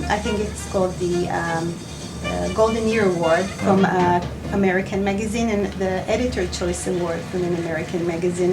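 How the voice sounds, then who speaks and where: A woman giving unscripted promotional answers as a company representative, halting as she searches for words. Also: medium pitch, vocal fry, noisy background. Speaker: company representative, interview guest. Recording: slightly distant mic, music behind. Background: background music.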